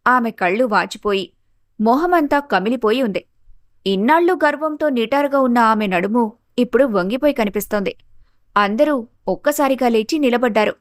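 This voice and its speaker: Female storyteller, higher-pitched voice, scripted narration, very dry close sound. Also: moderate pace, no echo.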